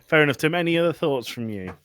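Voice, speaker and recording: A man's voice: a little high, lively intonation, fast, fairly bright tone, clear, resonant tone, dry recording. A man hosting a casual film podcast, with a dry, sarcastic way of talking. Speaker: podcast host steering the discussion.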